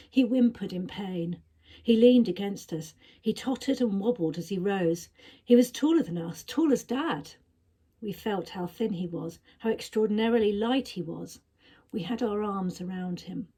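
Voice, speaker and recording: A woman narrating a story expressively, with dramatic, measured phrasing that is often hushed. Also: mid-range voice, slightly rough. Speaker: story reader. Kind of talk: expressive audiobook-style narration.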